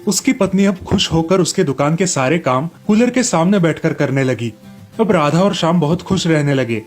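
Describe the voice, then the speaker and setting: Guy narrator telling kids moral stories, voice a bit high, scripted, soft music under it. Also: animated, normal speed.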